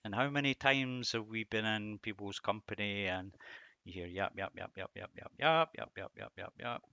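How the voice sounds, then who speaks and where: A man's voice: fairly low pitch, moderate pace, creaky, pushed and bright, no echo, muffled recording. A middle-aged man giving a reflective devotional talk on scripture, asking rhetorical questions and repeating words for emphasis. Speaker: Bible teacher and host.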